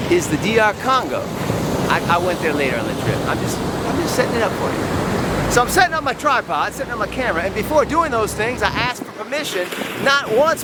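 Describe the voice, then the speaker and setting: A man telling a first-person story to camera like a boastful, playful showman, outdoors. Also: sits high. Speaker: sports travel vlogger, storyteller. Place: outdoors on a windy beach. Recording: loud surf and wind noise outdoors. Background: ocean waves, wind, surf.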